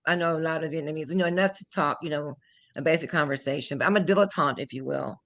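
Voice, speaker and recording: Bright-voiced chatty woman. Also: sits low, fast. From Houston, Texas. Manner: animated, chatty, laughs often, switches into French. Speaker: guest, language teacher. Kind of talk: casual interview chat.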